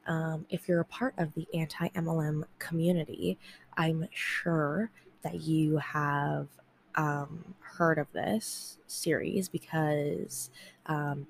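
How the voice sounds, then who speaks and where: A woman's voice: deep voice, creaky, a little hiss or hum. A woman hosting a podcast, talking casually and rambling with many ums, her voice nasal from congestion. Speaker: podcast host. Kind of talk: casual solo podcast talk. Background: fan whir.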